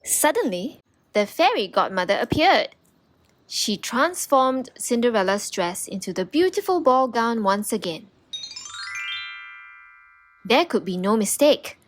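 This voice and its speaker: A woman reads a fairytale aloud for children. Her voice is fairly high and animated, and she takes her time over each phrase in an expressive storytelling style. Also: a little slow, pauses often, soft background music. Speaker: storybook narrator.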